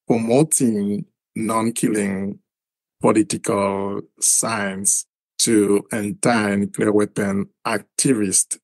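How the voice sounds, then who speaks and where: Middle-aged Haitian man, interview guest, slow and halting, with a low, dry voice. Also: no room sound. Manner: halting, many repeated words. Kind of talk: interview answers.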